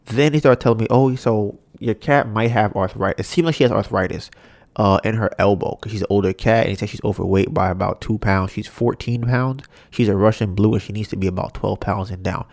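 A man's voice: brisk, vocal fry, no room sound. A young man hosting a podcast, ranting casually with a stuffy, nasal voice and lots of swearing. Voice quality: congested, nasal. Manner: animated, mocks others in high put-on voices, swears. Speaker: podcast host. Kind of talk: casual solo rant.

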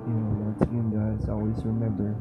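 A man hosting a podcast, reading an introduction in a slow, halting way. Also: lowish voice, completely flat delivery. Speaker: podcast host. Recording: muffled, phone-like, loud music behind.

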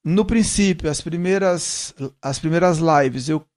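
Middle-aged Northeastern Brazilian man, slightly high voice. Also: measured pace, a little mellow. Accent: Northeastern Brazilian, softened by São Paulo. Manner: searching, repeats words as he explains. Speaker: guest, musician. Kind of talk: casual interview conversation.

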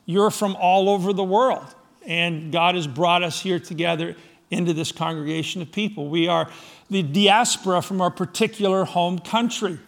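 A middle-aged man from Scotland preaching a sermon to his congregation, firing rhetorical questions, swinging from loud emphasis to soft, low asides. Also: fairly even intonation, moderate pace, gravelly. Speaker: pastor.

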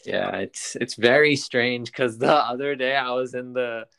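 A man's voice: medium pitch, measured pace, creaky, a bit bright, smooth. A man hosting a podcast in casual chat, rambling and often restarting his sentences. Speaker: podcast host.

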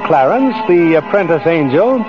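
A man's voice: on the high side, sing-song, normal speed. A man presenting a radio drama in scripted 1940s narration. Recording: muffled old radio, music behind.

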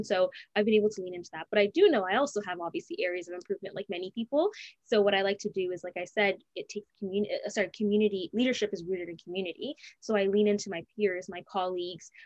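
A woman's voice: medium pitch, no echo, dull audio. A woman being interviewed, answering in an earnest, conversational way, often restarting and correcting herself. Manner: earnest, fast, with frequent restarts and self-corrections. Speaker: interviewee, student advisor.

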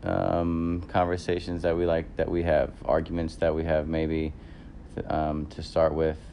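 Man in his 30s, very low voice, rambling casual chat, lots of fillers. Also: monotone, a little slow, warm tone, a little hiss or hum. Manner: rambling, self-correcting, many fillers. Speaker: podcast co-host.

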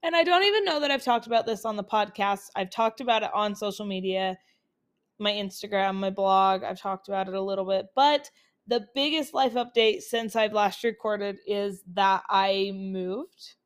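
A woman's voice: medium pitch, moderate pace, smooth. A young woman from Utah hosting a casual solo podcast on a laptop's built-in mic, chatty and upbeat with many fillers, her voice still recovering from colds. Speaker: podcast host.

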